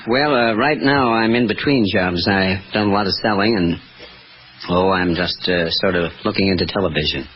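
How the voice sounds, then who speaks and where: A man in his late forties from Pennsylvania, answering in a hesitant, mild, unhurried way as a radio quiz contestant. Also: deep voice. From Pennsylvania, United States. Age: late 40s. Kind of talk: 1940s radio interview. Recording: muffled 1940s radio recording, faint noise.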